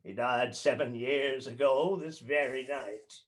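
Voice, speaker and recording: A man's voice: medium pitch, a little slow, close to an everyday voice. An actor playing a bitter, miserly old man in a radio play, snarling his lines in a grating, scornful voice.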